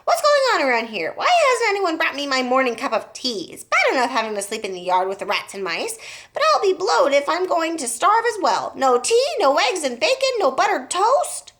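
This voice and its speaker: A towering, bad-tempered old grandmother barks her demands and scolds everyone around her, as a woman puts her on for a storybook. The voice swings widely as she shouts. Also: mid-range voice, animated, moderate pace, hardly any pauses, close to an everyday voice. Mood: angry demanding.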